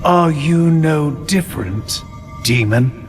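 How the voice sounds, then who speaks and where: An alien zealot villain in a game cinematic, delivering grandiose speeches with silky mock courtesy. He speaks slowly in a fairly high, very animated voice, with music playing behind him. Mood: grandiose pride. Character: haughty alien Covenant prophet, silky male zealot villain.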